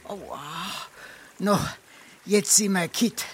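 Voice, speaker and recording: A man's voice: high voice, steady tone, extremely slow, slightly rough, heavily acted. An actor playing a brazen, boozy male freeloader in a dialect stage comedy, groaning and self-important, with a Darmstadt Hessian accent. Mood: sore, groaning.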